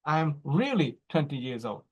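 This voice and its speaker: A man in his forties giving a teaching talk, explaining slowly in short phrases and checking in with "right?" and "okay?". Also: fairly high pitch, very animated, husky, muffled recording. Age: 40s. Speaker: speaker.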